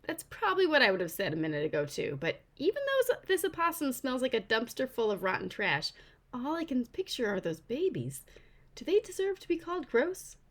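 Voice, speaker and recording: A woman reads a children's novel aloud as its author. Her delivery is animated and informal, and her pitch swings widely as she goes. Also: a little fast. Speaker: author reading her own book aloud.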